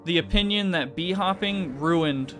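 A man's voice: high voice, a little slow, fairly bright tone, soft background music. A man chatting casually while streaming a game, joking and ranting in a playful mock tone. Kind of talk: casual gaming stream chat.

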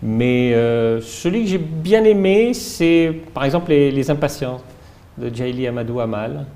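A man in his fifties from France, with a French accent, answering interview questions slowly and carefully with many fillers, recorded on a lapel mic in a formal room. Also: mid-range voice, expressive, mellow. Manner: measured, hesitant with many fillers and restarts. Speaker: ambassador being interviewed. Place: formal reception room indoors.

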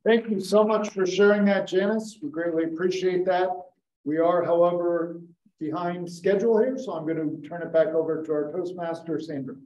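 Man, mellow voice, meeting emcee, dull roomy call audio. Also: sits high, fairly even intonation, a little hiss or hum. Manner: polite, brisk hand-offs. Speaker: table topics master.

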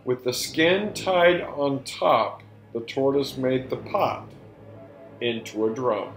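Guy, middle aged, telling a folktale real deliberate and theatrical, roomy echo, music behind. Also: medium pitch, vocal fry. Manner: deliberate, emphatic storytelling delivery. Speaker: storyteller and presenter. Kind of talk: theatrical folktale narration for learners.

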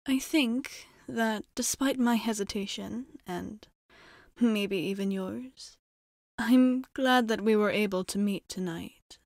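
A woman playing a courteous, guarded vampire bartender in an ASMR roleplay, speaking in soft, measured, formal phrases. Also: medium pitch, dry recording, clean studio sound. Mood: musing, warm. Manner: polite, measured, formal, often dropping into near-whisper.

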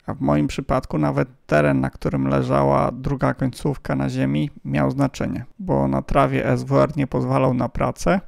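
A man's voice: mid-range voice, creaky, darkish tone, dry recording, clean studio sound. A man who hosts an amateur radio vlog, narrating from a script.

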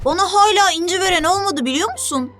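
A woman voicing a plucky, proud but good-hearted young sea creature, earnest and expressive, in a children's cartoon. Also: extremely high pitch, quick pace, full character voice. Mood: surprised. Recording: music bed under the voice.

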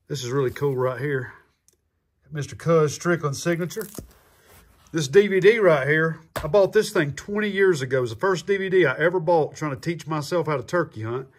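A man's voice: on the high side, moderate pace, husky. A man, chatting casually to his viewers in an easygoing vlog, laughing often. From southern United States. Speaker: vlogger.

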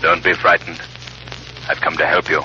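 An actor playing a mysterious invisible crime-fighter, calm and reassuring, through a radio filter in a 1930s drama. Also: male voice, sits low, moderate pace, vocal fry, slight background noise, full character voice. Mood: eerily reassuring. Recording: filtered, muffled vintage radio.

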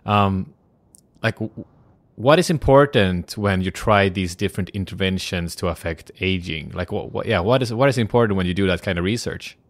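A man's voice: deep voice, moderate pace, no room sound. A man hosting a podcast, asking hesitant, searching questions. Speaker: podcast host. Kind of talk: interview questions.

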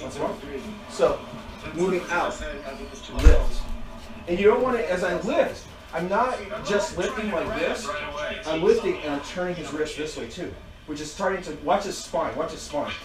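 A fairly high-voiced man walks students through techniques, chatty and relaxed, punctuating moves with quick sound effects. He is heard from across a roomy, echoing training hall, the mic far off. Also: music in the background. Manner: loose, laughing, demonstrating moves with sound effects. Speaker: martial arts instructor. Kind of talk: casual teaching at a dojo. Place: large indoor training room with mats. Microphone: fixed camera high in a corner, far from the speaker. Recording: echoey room, voice from far off.

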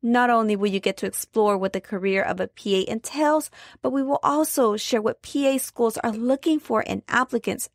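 A woman hosts a podcast with a fairly high voice. The sound is close and dry, with very little room on it. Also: no room sound. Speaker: podcast host. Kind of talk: scripted introduction and interview.

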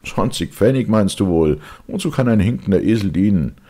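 A man voicing a callous, quick-tempered village man who talks in a brusque, scolding way. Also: on the low side, expressive, dark tone, slightly rough, pitched above the performer's own voice.

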